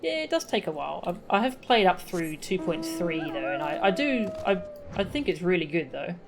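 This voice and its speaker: A woman's voice: lowish voice, sing-song, moderate pace, music in the background. A woman streaming a video game, chatting casually as she plays. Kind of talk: casual live stream chat and reading game dialogue aloud.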